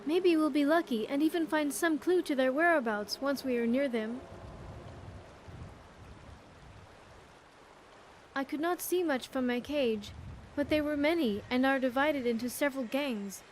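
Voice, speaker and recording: A woman's voice: high voice, moderate pace, slight background noise, natural voice. A woman playing an earnest, grateful young survivor companion in a video game, speaking in careful, formal phrases. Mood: urgent, pleading. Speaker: companion character in a game mod. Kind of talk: scripted game dialogue.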